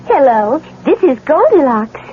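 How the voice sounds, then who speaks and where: Bubbly grown fairy-tale girl, very high voice, 1970s radio ad, tinny sound. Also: very animated, unhurried. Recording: thin telephone-like old radio recording.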